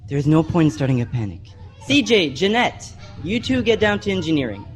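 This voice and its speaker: A man's voice: on the high side, big pitch swings, a little fast. A young male performer playing a teenage boy, a reassuring big brother who takes charge, in a sci-fi radio play.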